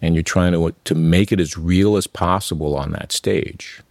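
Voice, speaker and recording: A middle-aged man from New York City speaks in a very deep, low register. He talks reflectively in a relaxed interview, livening up when he tells a story. The sound is close and dry. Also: normal speed, no echo. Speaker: interview guest, amateur actor. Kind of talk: conversational interview.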